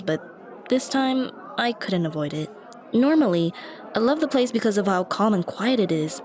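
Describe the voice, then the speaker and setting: A woman's voice: medium pitch, lightly acted. Woman playing a shy, dorky cafe regular, hesitant. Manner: hesitant, rambling, self-conscious, softly earnest. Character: shy, dorky young woman, a friendly coffee shop regular. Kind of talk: ASMR roleplay, spoken directly to the listener. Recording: busy cafe chatter behind the voice. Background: coffee shop crowd ambience.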